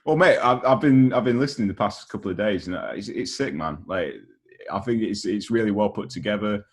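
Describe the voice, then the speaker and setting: Man, low voice, interview host, casual and slangy, matey, enthusiastic. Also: brisk, slightly rough.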